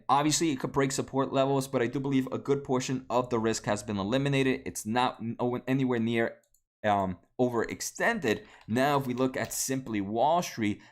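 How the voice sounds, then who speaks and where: A man in his late twenties, a native Spanish speaker, hosting a casual stock livestream, answering viewers with many fillers and restarts. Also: mid-range voice. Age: 20s. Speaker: stock market livestream host. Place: home room at a desk.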